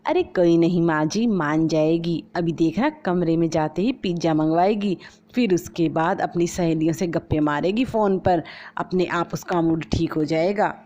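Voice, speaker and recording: A woman's voice: lowish voice, moderate pace, dark tone, natural voice. A woman voicing a cheeky, blunt young daughter-in-law who blurts out everything, in a storybook. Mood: innocently blunt. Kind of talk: storybook voice acting. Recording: slightly dull lo-fi recording.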